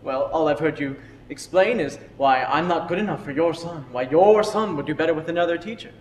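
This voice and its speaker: A man's voice: fairly high pitch, fairly quick, lightly acted. A man playing an earnest, opinionated history teacher who defends his methods, in amateur stage drama. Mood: insistent. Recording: echoey hall, faint noise.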